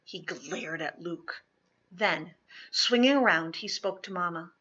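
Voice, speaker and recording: A woman reading a children's historical story aloud, expressively and dramatically, with emphatic swells and hushed drops. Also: lowish voice, slow, highs cut off. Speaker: storybook reader.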